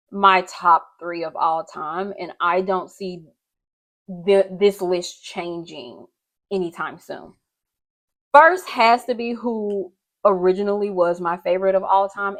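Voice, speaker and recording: A woman's voice: lowish voice, fairly bright tone. Young woman, chatty, gushing, casual slang, talking through a makeup routine. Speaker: beauty and wrestling vlogger. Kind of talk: casual chat while doing makeup.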